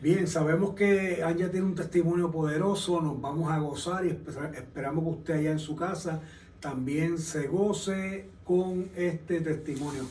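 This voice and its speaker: High-voiced male show host, roomy. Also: steady tone, a little slow, a little mellow, slight background noise. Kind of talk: interview hosting. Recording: roomy, distant mic.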